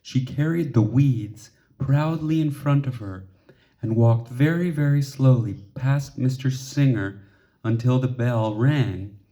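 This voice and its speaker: A man reading a children's picture book aloud in an expressive storytelling way. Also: mid-range voice, slow pace. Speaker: storybook reader.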